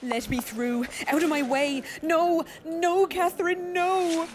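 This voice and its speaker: A woman's voice: very high voice, fairly even intonation, takes it slowly, a crowd in the background. An actress playing a distraught, fearful young Victorian London woman, anguished and ominous, in an audio play. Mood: frantic. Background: music.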